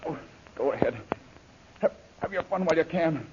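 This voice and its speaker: An actor playing a beaten but defiant adventure hero held prisoner, groaning and weak, in an old radio serial. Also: male voice, on the high side, animated, moderate pace, lightly acted. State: groaning, weakened. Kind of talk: 1930s radio serial acting. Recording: very noisy, muffled old radio recording.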